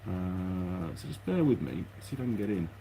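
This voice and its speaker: A man's voice: sits low, animated, normal speed, mellow, a little hiss or hum. A man chatting casually and unscripted to his viewers as a mechanic vlogger, rambling along and thinking aloud in his workshop.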